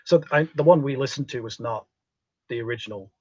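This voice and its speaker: A man's voice: medium pitch, a little fast, breathy edge, no room sound, dull audio. A man explaining things casually as a panelist on a talk show.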